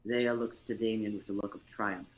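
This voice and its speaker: Casting: young male narrator for screenplay read-through, fairly low voice, home livestream with faint noise. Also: fairly even intonation, moderate pace, poor audio. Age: young adult. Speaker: host and narrator.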